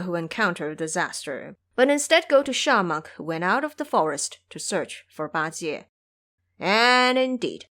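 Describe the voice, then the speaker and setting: A woman narrates a fantasy audio drama in a dramatic storytelling style. Her medium-pitched voice moves expressively up and down through the scripted prose. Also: fairly bright tone.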